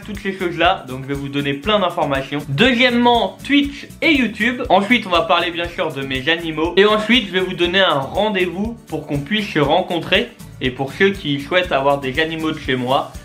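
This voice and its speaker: Young guy in his 20s, fairly high voice, talks to his viewers all excited, lots of ums, a bit of music under it. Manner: chatty and enthusiastic, with many fillers and restarts. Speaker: YouTube and Twitch creator. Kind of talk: casual vlog address to viewers.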